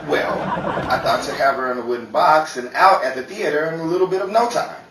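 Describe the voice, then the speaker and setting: A man's voice: on the high side, brisk, close to an everyday voice. A man playing a bumbling, self-important stage magician in a theatrical old time radio comedy style. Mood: boastful, defensive. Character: bumbling, boastful male stage magician and show announcer. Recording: roomy distant mic, noisy crowd behind.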